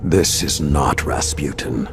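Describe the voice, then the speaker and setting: An actor playing a grave old warrior lord in a video game, speaking in a solemn, measured, gravelly way. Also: male voice. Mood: grave, resolute. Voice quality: very deep, gravelly. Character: grave old warrior lord, last survivor of his order. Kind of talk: dramatic video game cutscene acting. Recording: loud music under the voice. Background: loud music.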